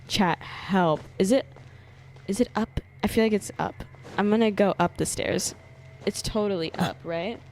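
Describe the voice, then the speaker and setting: A woman's voice: mid-range voice, unhurried, darkish tone, a little hiss or hum. A young woman giving casual, playful live gameplay commentary as a streamer, reacting in exclamations. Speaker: game streamer. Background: game music.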